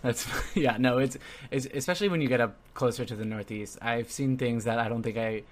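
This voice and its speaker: A man's voice: mid-range voice, fairly quick. Hesitant young man hosting. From Southern California. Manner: hesitant, repeats words, friendly. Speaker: podcast host. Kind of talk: casual interview.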